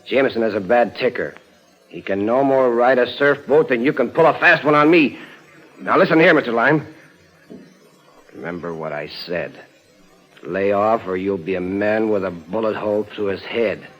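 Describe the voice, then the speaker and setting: Tough threatening mobster, low voice, clipped slangy talk, muffled old radio. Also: male voice, animated, normal speed. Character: menacing jealous gangster husband, tough and blunt. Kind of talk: 1950s radio crime acting. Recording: dull old radio recording.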